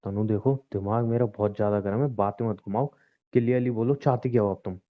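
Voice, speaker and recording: An actor playing a young, wounded, estranged husband in a relationship drama, speaking in a curt, confrontational way and repeating himself. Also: male voice, lowish voice, steady tone, fairly quick, lightly acted. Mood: angry, dismissive. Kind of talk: Hindi audio relationship drama, naturalistic dialogue. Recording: dull lo-fi recording.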